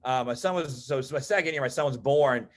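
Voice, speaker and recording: An American man from Texas tells personal stories as a guest in an online meeting, in an informal, animated way that often acts out dialogue. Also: medium pitch, a little fast. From Texas, United States. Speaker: interview guest, real estate agent and coach.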